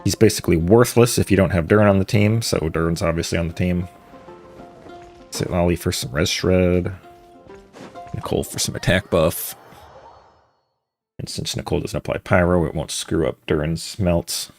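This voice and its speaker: A man's voice: sits low, steady tone, moderate pace, pauses often, a little mellow, soft background music. A man giving casual, offhand live commentary as a video game streamer, with a dry manner.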